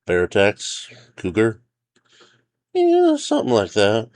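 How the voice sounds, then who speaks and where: A man's voice: lowish voice, wildly swooping pitch, slow, a little mellow, no room sound, pitched above the performer's own voice, exaggerated voice. A man voicing a wary, weary forest ranger in a story, hesitant and eager to steer people elsewhere. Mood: muttering, evasive. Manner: hesitant, muttering, overly chipper when steering away. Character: weary, wary male forest ranger, hopeful then resigned.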